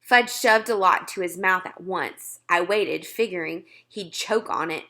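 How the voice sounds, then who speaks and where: A woman's voice: fairly low pitch, unhurried, sharp tone. A woman storyteller reading a children's book aloud as scripted narration.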